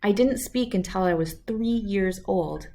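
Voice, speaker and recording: A woman reading a children's storybook aloud in an expressive way, acting out the lines. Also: medium pitch, a little slow. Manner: expressive, acts out character lines. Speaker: read-aloud host.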